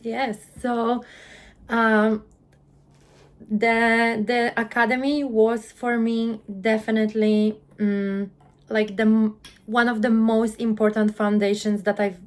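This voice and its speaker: A woman in her thirties with a Polish accent, telling her story as a guest in an interview, earnest and quick, often repeating the first word of a sentence. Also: a little high, level tone. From Poland. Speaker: guest, content creator.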